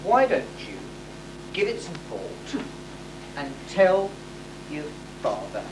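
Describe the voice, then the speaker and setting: Pompous pantomime sheikh dad, played by a woman, swooping delivery with stagey pauses, heard across a noisy hall. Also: on the low side, sing-song. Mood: blustering. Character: pompous sheikh father of a princess, middle-aged man. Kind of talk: amateur pantomime stage acting. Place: hall stage, distant microphone. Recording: echoey hall from far off, noisy amateur tape.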